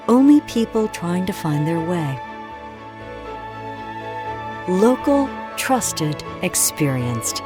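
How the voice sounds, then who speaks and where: A woman reads commercial copy in a smooth, measured, reassuring voice. Her voice sits fairly low and moves through a wide, lively melody. A music bed plays clearly underneath her. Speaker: commercial voice-over announcer. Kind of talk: scripted ad read.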